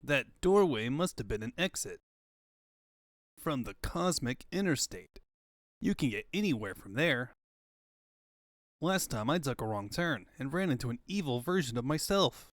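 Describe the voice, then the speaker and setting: Brash young male hedgehog hero for a comic dub, voiced by a man: cocky, slangy, wisecracking, very animated. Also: mid-range voice, a little slow, long pauses, dry recording, studio quality. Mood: casual recalling. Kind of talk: comic-book fandub cartoon acting.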